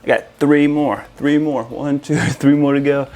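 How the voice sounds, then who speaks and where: A man's voice: a little high, little pitch movement, a little slow, darkish tone. A man talking informally to viewers as an installer, walking through a product demo in a casual way and addressing them as guys. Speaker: audio-video installer presenting to viewers.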